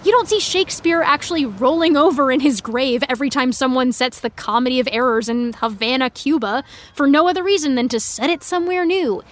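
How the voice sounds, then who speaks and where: A woman playing a frustrated, opinionated high school senior fangirl in a naturalistic audio drama, earnest and articulate. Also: fairly quick, hardly any pauses, natural voice. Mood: indignant, passionate. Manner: earnest, articulate, indignant teen speech.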